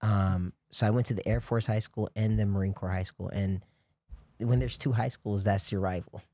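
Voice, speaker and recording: Low mellow-voiced man telling stories. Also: fairly even intonation, normal speed, dry recording, clean studio sound. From grew up on US military bases in Japan. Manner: rambling storyteller, many restarts and "you know, like". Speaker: podcast guest. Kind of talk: casual chat.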